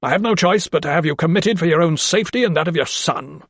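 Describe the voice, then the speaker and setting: A man's voice: a little high, animated, fast talker, vocal fry, a bit bright, dry recording, clean studio sound, voice pushed up, exaggerated voice. A man reading an audiobook, voicing a pompous, scheming older lawyer who speaks with patronising menace. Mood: cold. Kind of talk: audiobook character voice.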